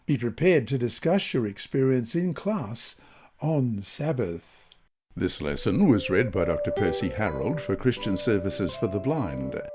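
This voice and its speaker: A man with a soft, mellow voice reads devotional narration and scripture. His pitch moves in a lively, expressive way, and his phrasing is measured and emphatic. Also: soft background music. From Australia. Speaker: lesson reader.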